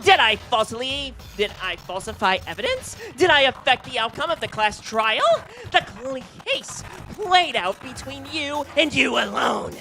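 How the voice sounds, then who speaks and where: A woman voicing a mischievous, theatrical mascot villain in a game, mocking and raspy. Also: high-pitched, expressive, normal speed, hardly any pauses, sharp tone, a little hiss or hum, heavily acted, low-quality recording. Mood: indignant.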